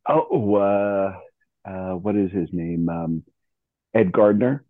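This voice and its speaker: A man with a husky voice, chatting casually on an online meeting. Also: deep voice, slow pace, dry recording. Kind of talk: casual chat. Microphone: online meeting call. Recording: thin phone-like online meeting audio.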